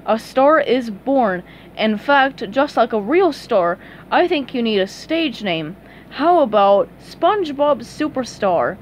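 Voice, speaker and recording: A woman reading aloud and voicing a scheming, smooth-talking male fish director with a slick, persuasive manner. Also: fairly high pitch, very animated, slow pace, a little hiss or hum.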